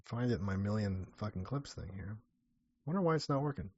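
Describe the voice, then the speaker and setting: A man's voice: fairly low pitch, expressive, brisk, a little mellow, no room sound, dull audio. A man hosting a livestream, reading viewer comments and riffing in a rambling, sardonic way. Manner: rambling, sardonic, many restarts and profanity. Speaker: livestream host.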